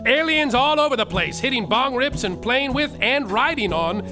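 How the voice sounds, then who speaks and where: A man's voice: very high-pitched, animated, normal speed. A man narrating a comedic story live on a theater stage, hammy and increasingly exasperated. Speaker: narrator and lead performer. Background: live band music.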